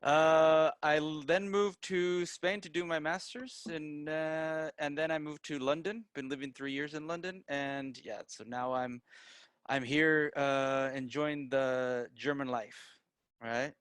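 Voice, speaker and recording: A man's voice: on the high side, takes it slowly, a bit bright, clean tone, dry recording. American-accented man presenting, dry close sound. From Peru, raised in the United States. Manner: frequently tags statements with "right?". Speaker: guest presenter, digital marketer. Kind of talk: informal online presentation.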